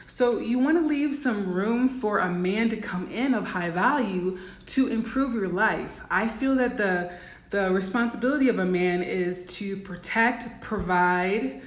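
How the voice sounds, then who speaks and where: A woman with a raspy voice giving blunt, instructive coaching advice in a casual livestream talk, full of "um" and "like". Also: medium pitch, unhurried, roomy sound. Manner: blunt, instructive, many "um" and "like" fillers. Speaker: dating and life coach.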